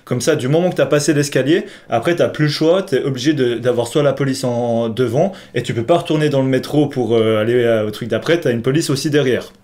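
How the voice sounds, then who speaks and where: A man's voice: mid-range voice, fairly quick, hardly any pauses, a little mellow. A young man co-hosting a podcast, casually telling an anecdote to a friend in slangy, laughing bursts. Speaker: podcast co-host.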